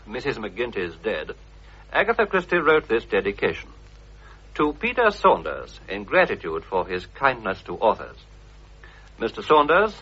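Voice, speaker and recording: A sharp, slightly rough male voice with a British accent and animated intonation. He reads scripted links for a radio profile in formal presenter style, on a dull vintage recording. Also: medium pitch. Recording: muffled old radio recording.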